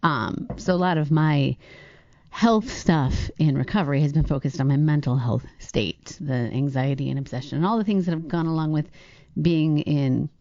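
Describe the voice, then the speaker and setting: A woman's voice: deep voice, normal speed, a little mellow, no room sound, muffled recording. A woman in her late forties co-hosting a podcast, speaking candidly and chattily about her own life. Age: late 40s. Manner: candid, chatty, laughs easily. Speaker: podcast co-host. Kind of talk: casual podcast conversation.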